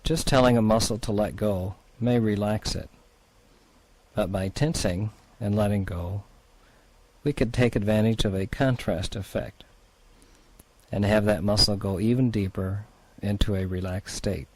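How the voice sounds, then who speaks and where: A man leading a guided relaxation exercise as a therapist, in a soothing, unhurried, gently instructing manner. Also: fairly low pitch, steady tone, slight background noise, rough recording.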